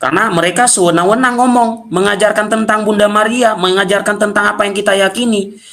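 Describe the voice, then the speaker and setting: A man with a Nias accent from Indonesia giving a combative apologetics talk straight to his viewers, slowing down to press his points. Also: sits high, steady tone, a bit bright. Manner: combative, addresses viewers directly, slows to stress points. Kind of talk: preaching-style video lecture to viewers.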